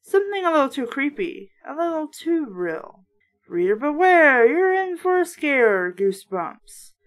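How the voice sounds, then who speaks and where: Female host, higher-pitched voice, informal reader-commentator with sarcastic asides. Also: big pitch swings, unhurried. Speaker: host of a book review series. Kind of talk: casual read-aloud with running commentary.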